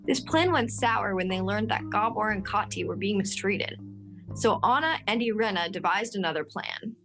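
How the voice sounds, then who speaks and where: A woman's voice: mid-range voice, expressive, normal speed, fairly bright tone. A woman narrator delivering scripted dramatic storytelling. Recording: faint music underneath.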